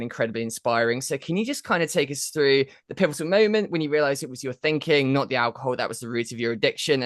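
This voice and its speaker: A man's voice: medium pitch, no echo. Upbeat young man hosting, dry close sound. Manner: enthusiastic, rambling questions with "kind of" and "like". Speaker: podcast host.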